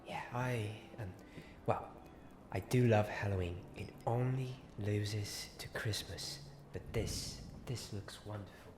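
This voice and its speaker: A man playing a friendly, secretive wizard-school companion in an ASMR roleplay, speaking in a hesitant, confiding way and often dropping to a whisper. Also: on the low side. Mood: pleased, friendly. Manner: hesitant, halting, hushed and confiding. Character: friendly, secretive Hogwarts companion eager for a ghost party. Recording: clearly noisy ambient background.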